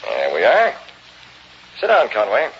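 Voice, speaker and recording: An actor playing a decisive, brisk, authoritative newspaper boss in a vintage radio drama. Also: male voice, on the low side, wildly swooping pitch, exaggerated voice. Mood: commanding. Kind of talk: 1930s-40s radio acting. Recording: muffled old radio recording, faint noise.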